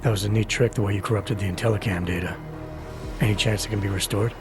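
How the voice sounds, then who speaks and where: Man acting a low-voiced, composed investigator. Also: fairly even intonation, quick pace, over music, close to an everyday voice. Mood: firm, reasoning. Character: stern, composed male Interpol agent questioning a suspect. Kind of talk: video game dialogue acting.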